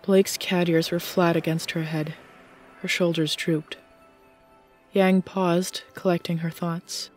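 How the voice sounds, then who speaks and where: A woman narrates a scripted audiobook story. Her voice sits a little low in pitch, and faint music plays behind her. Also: little pitch movement, slow, darkish tone.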